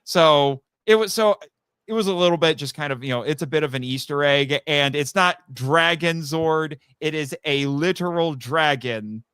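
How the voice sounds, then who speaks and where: Clear-voiced man chatting as a podcast guest. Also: on the high side, normal speed, fairly bright tone, no echo, clean studio sound. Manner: chatty, rambling fan talk with frequent asides. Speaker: podcast guest, audio drama writer. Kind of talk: casual chat about an audio drama.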